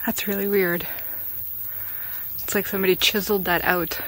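A woman's voice: medium pitch, little pitch movement, moderate pace, a bit bright, slight background noise. A woman vlogger chatting casually as she walks a wooded trail outdoors, pointing things out as she goes. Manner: chatty, easygoing, points things out while walking. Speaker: hiking vlogger.

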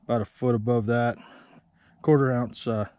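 A man's voice: medium pitch, steady tone, normal speed, warm tone, dry recording. A man casually explaining his ice fishing setup outdoors on a frozen lake, plainspoken and self-deprecating, recorded on a body-worn action camera. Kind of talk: casual how-to narration.

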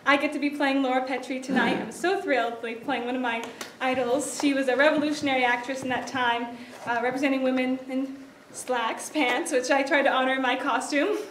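A woman's voice: high-pitched, steady tone, brisk. A young woman giving an informal, chatty introduction to a show as its director, with a giggly manner. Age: young adult. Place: theatre stage, indoors. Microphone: distant audience camera. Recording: echoey theatre from far off, noisy.

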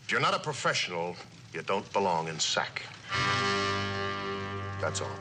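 A man's voice: deep voice, very animated, measured pace, over loud music. A man acting a stern, demanding Air Force general in a vintage suspense drama, with a clipped, commanding, admonishing manner. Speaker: actor in a radio and television drama. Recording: vintage drama soundtrack with background noise.

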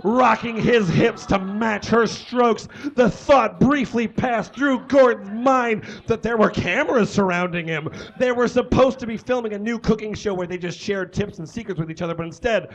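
A man's voice: sits high, moderate pace, few pauses. A young man reading aloud theatrically on a small stage, emphatic and sometimes tripping over his words. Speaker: live reader on stage. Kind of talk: comic dramatic reading of fan fiction to an audience. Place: small indoor stage with curtains. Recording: slightly muffled live stage recording.

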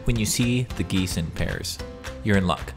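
A man's voice: sits low, moderate pace, vocal fry. A man narrating an educational nature video. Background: music.

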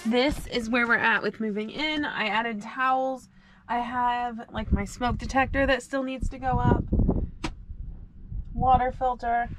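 A woman's voice: fairly high pitch, fairly even intonation, measured pace, over loud music, poor audio. A woman in her thirties chatting upbeat to camera while packing inside a small camper van. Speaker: vlogger. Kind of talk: casual vlog narration.

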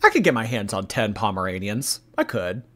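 Man, young adult, nasal, stuffy nose, animated, bright. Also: lowish voice, a little fast. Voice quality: a little nasal. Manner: animated, laughing, reacting to chat. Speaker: live stream host. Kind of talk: casual live stream chat reading donations.

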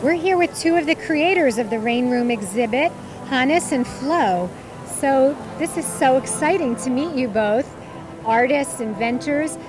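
Bubbly woman host with a high voice. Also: expressive, unhurried, low-quality recording. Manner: bubbly, exclamatory. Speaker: host and interviewer. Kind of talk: enthusiastic on-location hosting. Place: outdoors on a city street and inside an exhibit. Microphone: handheld microphone. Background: music.

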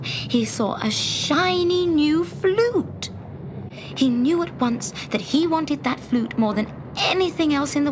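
A woman narrating a scripted children's story in an expressive, dramatic storytelling manner. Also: on the high side, noisy background. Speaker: storyteller, audiobook reader.

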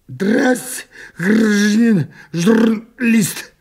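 A man voicing a pompous drunk official in a satirical story, slurred, halting and drawn-out. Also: high voice, creaky, darkish tone, breathy edge, voice pushed up, exaggerated voice. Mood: tipsy, solemn. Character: swaying drunk pompous male board official.

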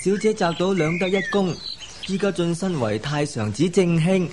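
An actor playing an obliging, good-humoured household servant, indulgent and deferential, in a radio drama. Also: male voice, sits high, moderate pace, noisy background, close to an everyday voice. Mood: cheerfully boastful.

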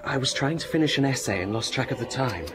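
An actor with an English accent playing a flippant, well-spoken young Cambridge student in a period radio drama. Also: male voice, medium pitch, lively intonation, quick pace, over loud music, close to an everyday voice. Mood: breezy. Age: young adult.

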